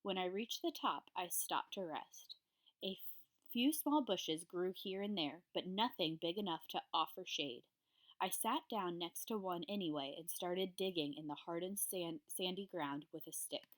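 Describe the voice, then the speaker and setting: A woman reads a children's story aloud as a grade school teacher would for her class. Her voice sits a little lower than most women's. The delivery is that of a classroom read-aloud. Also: measured pace, fairly bright tone.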